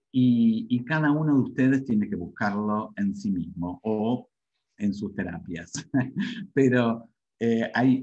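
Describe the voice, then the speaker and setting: A man's voice: lowish voice, dark tone, breathy edge. A middle-aged man with an Argentine Spanish accent, giving an informal explanatory webinar lecture as a language teacher, often checking in with short tag questions. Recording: dull, muffled webinar audio.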